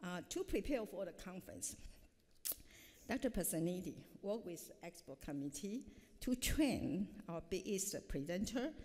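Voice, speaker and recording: A woman's voice: on the low side, expressive, measured pace, mellow. A middle-aged woman professor giving a formal introduction from notes at a podium mic in a large hall, with frequent hesitations. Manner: reads from notes with frequent uh fillers. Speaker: professor introducing a keynote speaker. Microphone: podium microphone. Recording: long hall reverb.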